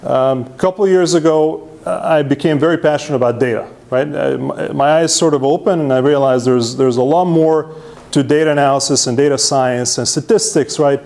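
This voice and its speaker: A man presents to a meetup crowd. He is self-deprecating and casual, often tags his points with a quick "right?" and restarts his sentences as he goes. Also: a little high, vocal fry, a little mellow. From Serbia. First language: Hungarian. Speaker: presenter at user group meetup. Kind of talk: conference talk with slides.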